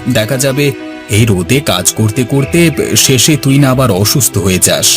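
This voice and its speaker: A man's voice: medium pitch, animated, normal speed, lightly acted. A man voicing a chatty adult village farmer, a dutiful husband, in a cartoon. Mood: friendly. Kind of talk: dubbed cartoon dialogue. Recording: music under the voice.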